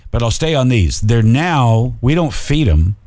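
A man's voice: mid-range voice, sing-song, a little slow, darkish tone, no echo. A middle-aged man co-hosting a casual podcast with dry, teasing banter, on a studio mic. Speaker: podcast co-host.

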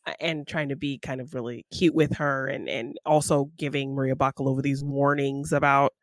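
A woman co-hosting a podcast, chatting casually about film in an animated, giggly way. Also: extremely low pitch, brisk, no room sound, clean studio sound. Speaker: podcast co-host.

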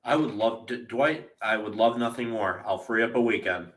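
A man's voice: lowish voice, normal speed, a bit bright, distant mic. A man co-hosting a show, bantering and teasing playfully. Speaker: co-host. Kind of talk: casual banter.

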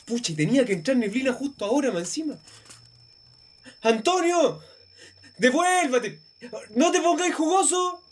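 A man's voice: extremely high pitch, expressive, moderate pace, pauses often, a little hiss or hum. An actor playing a worried, exasperated young man with a colloquial Chilean accent in a radio drama. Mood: alarmed, exasperated. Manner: colloquial, slangy. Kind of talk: naturalistic radio drama acting.

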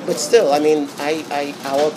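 A Bahamian man giving an interview, speaking reflectively with many pauses and restarts. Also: fairly high pitch. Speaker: interview guest. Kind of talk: casual interview talk. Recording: steady background noise.

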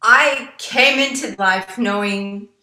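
Woman singer chatting in an interview, bright tense voice, echoey room, acts out old conversations. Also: medium pitch, takes it slowly. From United States. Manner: animated, acts out remembered dialogue. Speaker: jazz singer and songwriter guest.

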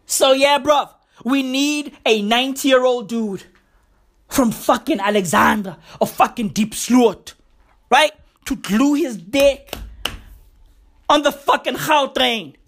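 A young woman who hosts a podcast rants in profane, slang-heavy bursts. Her voice is going hoarse. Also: sits high. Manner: profane, slangy, emphatic ranting. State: losing her voice. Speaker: podcast host. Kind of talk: comedic podcast rant.

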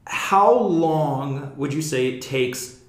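A man's voice: fairly high pitch, slow. An American man hosting a casual panel discussion, thinking aloud and putting questions to the group. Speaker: podcast host and theater producer. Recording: echoey room.

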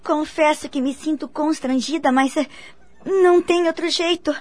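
Actress as worried, courteous young Brazilian woman, radio drama; high voice, hesitant, closely recorded. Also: moderate pace, no room sound, close to an everyday voice. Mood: worried, affectionate. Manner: polite, hesitant. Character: anxious, polite young working woman in love.

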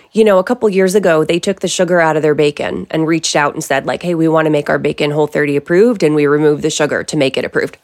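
A middle-aged woman, a company founder, answering interview questions in a confident, emphatic conversational way. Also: low voice. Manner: confident, emphatic, lively asides.

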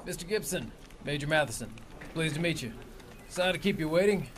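An actor playing a brisk, businesslike male army major. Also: a little high, lively intonation, background noise, natural voice. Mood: firm. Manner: curt, businesslike. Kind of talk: audio drama acting.

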